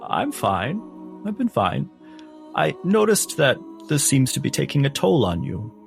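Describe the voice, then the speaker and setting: A man voicing a kindly old priest and mentor in a tabletop game, speaking in a gentle, measured, teacherly way. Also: medium pitch, big pitch swings, vocal fry, soft background music, voice pushed up. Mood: gently encouraging.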